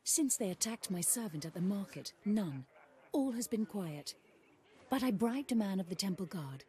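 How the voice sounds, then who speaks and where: Game casting: actress as mature aristocratic mother, medium pitch, courteous, measured wording, wryly dry. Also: lively intonation, warm tone, natural voice. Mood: guarded, courteous. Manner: formal, polite, wryly dry. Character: genteel, composed older noblewoman, wry widowed mother. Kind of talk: video game character acting.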